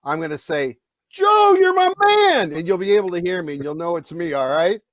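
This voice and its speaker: A middle-aged man hosting an interview, asking long, rambling questions in a big, loud voice. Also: high-pitched, big pitch swings, normal speed, no echo, clean studio sound. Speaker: radio host.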